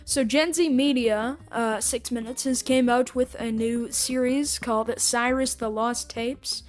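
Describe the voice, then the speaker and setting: A woman hosts a casual review podcast. Her voice is fairly high and she talks in a halting, hesitant way, with music behind her. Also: fairly even intonation. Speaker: podcast host.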